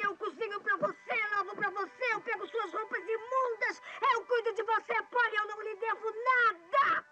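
Furious fed up woman, really high voice, muffled old movie with music. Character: wronged, furious woman standing up for herself. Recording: dull old film dub with music.

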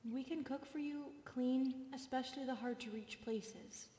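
A woman voicing a timid, dutiful centipede maid in an ASMR roleplay, polite, soft-spoken and hesitant, often slipping into breathy whispers. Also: high voice, steady tone, normal speed. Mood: pleading.

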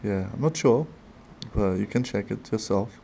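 A man with a non-native accent opening trading cards at a desk, talking casually and haltingly with small laughs. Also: on the low side, expressive, gravelly, mellow, a little hiss or hum. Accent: non-native English. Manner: slow, halting, chatty with little laughs. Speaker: card-opening channel host. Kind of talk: casual card-opening commentary. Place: desk in a dim room.

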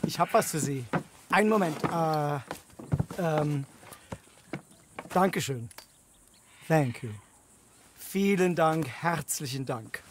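Effusive German settler. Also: male voice, a little high, takes it slowly, pauses often, a little hiss or hum. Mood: grateful, emotional. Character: anxious, grateful German settler family man. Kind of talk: video game character acting.

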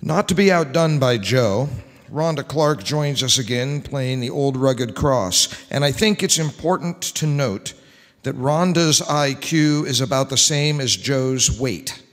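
A man's voice: medium pitch, lively intonation, measured pace. A middle-aged man hosting a live radio-style show from a stage, reading scripts in a wry manner. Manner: wry, joking asides. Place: large church sanctuary before a seated audience. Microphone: microphone on a stand. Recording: long hall reverb.

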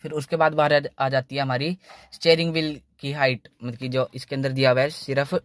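A man's voice: medium pitch, level tone, fairly quick, a bit bright, no room sound. A young man explains driving tips in a loose, repetitive way, saying "theek hai" again and again, recorded on a phone inside a parked car. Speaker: car channel host. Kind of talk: casual how-to talk in a parked car.

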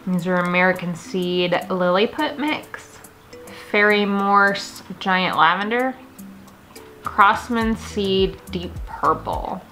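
A woman's voice: medium pitch, slow, bright, forceful tone, soft background music. A woman in her thirties chatting casually and enthusiastically to camera as a gardening vlogger in her kitchen. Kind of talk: casual chat to camera, showing seed packets. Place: home kitchen, indoors.

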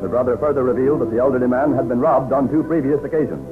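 A man's voice: mid-range voice, rapid-fire, over music. A man announcing and narrating dramatized news in a declamatory 1930s radio style. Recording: muffled 1930s radio broadcast recording.

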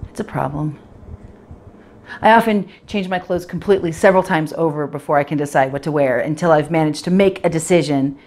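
A woman's voice: deep voice, a little fast, darkish tone. A woman performing a dramatic monologue as an anxious, self-critical professional in her late twenties, confessional and fretful, addressing the audience directly. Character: anxious, self-critical 28-year-old professional woman, obsessive about appearance.

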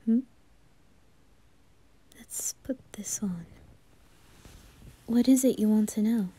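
A woman playing a calm, polite young maid in an ASMR roleplay, speaking in a hushed, soothing, deferential way. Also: mid-range voice, slow pace, husky. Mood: gentle, reassuring.

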